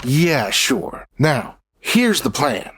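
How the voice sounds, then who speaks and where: Brief: gruff frontier lawman type, a man acting, mid-pitched, plain-spoken. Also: big pitch swings, extremely slow, background noise. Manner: blunt, plain-spoken, rough grammar. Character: gruff trigger-happy posse leader, middle-aged man.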